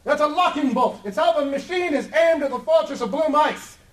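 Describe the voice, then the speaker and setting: An actor playing a pompous, self-assured adventurer hero in a theatrical live comedy radio play. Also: male voice, extremely high pitch, lively intonation, fairly quick. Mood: confident, grand. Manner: grandiose, theatrical. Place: convention stage before live audience. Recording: roomy live stage, distant mic, faint noise.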